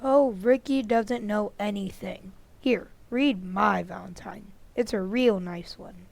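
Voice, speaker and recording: A woman's voice: mid-range voice, slow pace, vocal fry, darkish tone, no echo. A woman playing a bossy, know-it-all older boy in an old-time radio family comedy. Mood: superior, matter-of-fact. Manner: superior, matter-of-fact.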